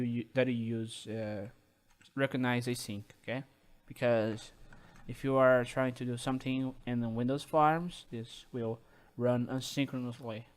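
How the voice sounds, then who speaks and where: A man with a Brazilian Portuguese accent, not a native English speaker, talking slowly and haltingly through a coding tutorial with many fillers and restarts. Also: mid-range voice. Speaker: programming tutorial host. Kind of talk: screen-recorded coding tutorial.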